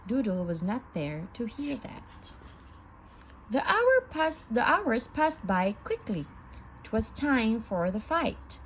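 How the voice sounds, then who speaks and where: A woman reading a children's story aloud in an expressive, sing-song manner. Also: on the high side, slight background noise, poor audio. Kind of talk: children's read-aloud narration.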